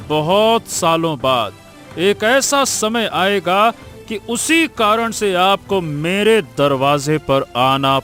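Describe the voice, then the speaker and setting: A man's voice: fairly high pitch, sharp tone, exaggerated voice. A man voicing a poor, protective village father in a Hindi cartoon story, earnest and often repeating himself. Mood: wounded, resolute.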